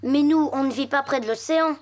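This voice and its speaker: A woman's voice: high-pitched, a little fast, very noisy, natural voice. A woman voicing a cautious, imaginative little boy in a children's cartoon, earnest and a little theatrical. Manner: earnest, theatrical when telling stories. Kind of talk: French animated children's series dubbing. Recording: music behind the voice.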